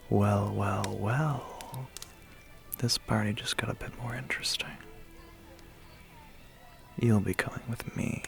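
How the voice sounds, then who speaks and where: A man voicing a suave, menacing vampire biker in an ASMR roleplay, slow and teasing with a breathy, gravelly half-whisper. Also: medium pitch, steady tone, over music, natural voice. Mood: amused, teasing. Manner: slow, teasing, quietly threatening.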